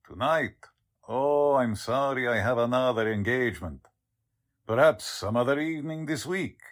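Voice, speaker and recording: A man's voice: mid-range voice, normal speed, natural voice. An actor playing a scheming, pompous con man who poses as a count, in 1940s radio drama style. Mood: suave, affected. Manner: suave affected courtesy switching to gruff bossiness.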